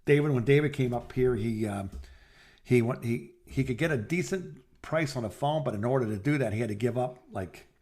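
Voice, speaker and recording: A middle-aged man chatting casually and telling personal anecdotes in a rambling, halting way, as a podcast co-host. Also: mid-range voice, darkish tone.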